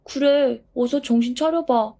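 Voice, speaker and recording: A woman voicing a high, tender little wind. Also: measured pace, warm tone. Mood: urgent. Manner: earnest and emotional. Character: small gentle wind, tender and sensitive. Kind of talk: storybook voice acting.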